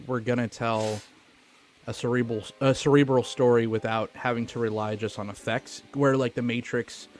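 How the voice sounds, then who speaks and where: A man's voice: medium pitch, slight background noise. A man co-hosting a film podcast, talking casually in an informal, slangy way.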